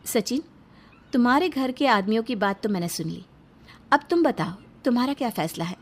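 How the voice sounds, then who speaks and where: Narrator as bold, defiant young wife. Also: female voice, fairly high pitch, expressive, normal speed. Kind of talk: Hindi cartoon story dialogue.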